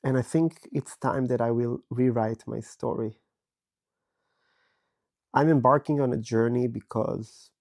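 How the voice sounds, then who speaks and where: Israeli-born man in his 30s, raspy and hoarse from illness, unhurried candid monologue, low warm timbre. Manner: slow, thoughtful, candid, with many pauses. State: hoarse from a virus. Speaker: podcast host. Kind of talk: personal reflective monologue. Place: bedroom, recording from bed.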